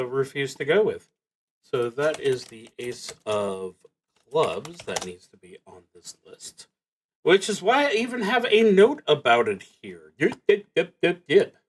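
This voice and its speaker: A man narrating a solo tabletop game, reading cards aloud and thinking out loud in a casual, wry way. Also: fairly high pitch. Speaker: solo roleplaying game streamer.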